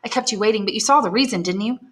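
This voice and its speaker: A woman's voice: medium pitch, rapid-fire, fairly bright tone, voice pushed up, close to an everyday voice. A woman reading aloud and voicing an anxious young woman in love, earnest and pleading. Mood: anxious, tender. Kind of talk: audiobook character voice.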